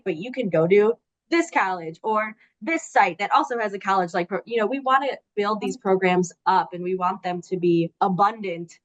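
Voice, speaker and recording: A woman's voice: mid-range voice, no room sound, poor audio. A woman guest speaking in a chatty, enthusiastic way with frequent fillers, in a casual interview. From United States. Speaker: guest, program director.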